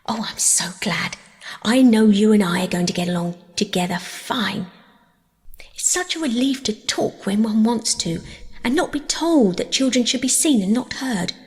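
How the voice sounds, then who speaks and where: A woman's voice: fairly high pitch, lively intonation, moderate pace, in a large hall, lightly acted. A woman voicing a chatty, dreamy, eager young orphan girl for an audiobook, with gushing, wordy delivery. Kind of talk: audiobook character voice.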